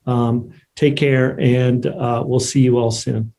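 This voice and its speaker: Male webinar moderator, mid pitch, flat intonation, polite and appreciative, casual delivery. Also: slow. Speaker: online event moderator. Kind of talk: casual closing remarks. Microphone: online video call.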